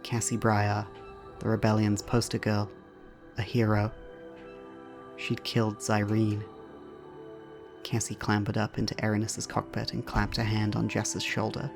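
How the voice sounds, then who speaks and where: A man's voice: fairly low pitch, completely flat delivery, unhurried, creaky, pauses often, music in the background. A man reading scripted narration for an audio drama as its narrator.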